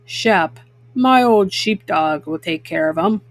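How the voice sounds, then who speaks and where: A woman voicing a folksy old male bear farmer, calm, wise and plain-spoken, giving gentle advice in a children's storybook. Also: fairly low pitch, takes it slowly, pitched below the performer's own voice. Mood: reassuring, folksy.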